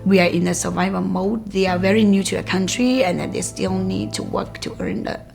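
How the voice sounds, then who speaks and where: A woman whose first language is Karenni, originally from Myanmar, answering interview questions as a community health worker. Her mid-pitched voice carries a noticeable gravelly fry, and she pauses often with "um" and "like". Soft music plays faintly beneath her. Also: steady tone. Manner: measured, with frequent "um" and "like" pauses.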